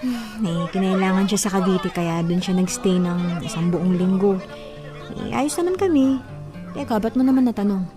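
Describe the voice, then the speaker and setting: A woman's voice: medium pitch, expressive, normal speed, over music, natural voice. A woman playing a weary, long-suffering wife in her thirties, meek and evasive, in a Filipino radio drama.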